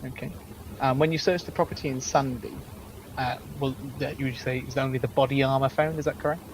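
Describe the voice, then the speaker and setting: Brief: man as lawyer questioning a witness, quick and monotone, noisy game audio. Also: medium pitch. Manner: hesitant, clarifying, reading from notes. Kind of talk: game roleplay courtroom questioning.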